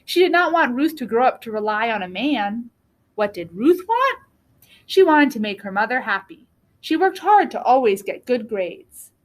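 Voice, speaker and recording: A woman reading a children's book aloud as a storyteller, with deliberate, expressive phrasing. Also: on the high side. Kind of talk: read-aloud storybook narration.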